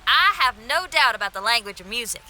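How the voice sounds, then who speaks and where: A woman's voice: on the high side, sing-song, fast, pushed and bright, a little hiss or hum, exaggerated voice, poor audio. A woman voicing a confident, free-spirited young musician in a video game, with a breezy, assured way of talking.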